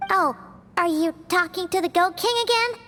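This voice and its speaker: A woman's voice: extremely high pitch, normal speed, sharp tone, long reverb tail. A woman voicing a timid, polite young woman in a video game, with a hesitant, deferential manner.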